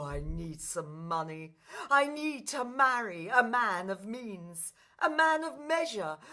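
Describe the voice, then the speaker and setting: Evil stepmother, shrieking, haughty, greedy, dramatic swoops in pitch, drawn-out scolding, pantomime style. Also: sharp tone, heavily acted. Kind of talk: stage pantomime acting.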